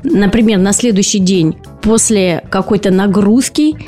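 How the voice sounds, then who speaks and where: A woman's voice: mid-range voice, a little slow, mellow, soft background music. A woman speech and voice therapist hosting an educational podcast, explaining patiently and posing rhetorical questions to her listeners.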